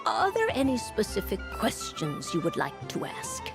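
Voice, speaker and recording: An actress playing a refined woman mage and fortune-teller in a video game, speaking formally and courteously with measured eloquence. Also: mid-range voice, wildly swooping pitch, gravelly. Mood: courteous, theatrical. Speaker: fortune-telling mage character. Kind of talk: video game character acting. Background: music.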